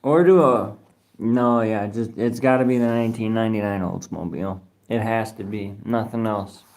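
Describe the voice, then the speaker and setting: A man's voice: lowish voice, normal speed, darkish tone. A man hosting a casual live stream from his phone, reading viewer comments aloud in a rambling, slangy way, swearing casually and spelling names out letter by letter. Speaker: livestream host.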